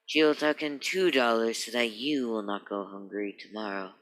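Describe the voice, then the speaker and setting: An actor playing an earnest police sergeant sidekick, pompously formal and long-winded, in 1950s radio acting style. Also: male voice, medium pitch, normal speed, fairly bright tone, lightly acted.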